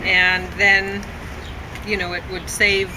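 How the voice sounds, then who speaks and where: Female casual reviewer, average pitch, unscripted and rambling, recorded with noticeable vehicle ambience. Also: flat delivery, takes it slowly, background noise. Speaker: film reviewer.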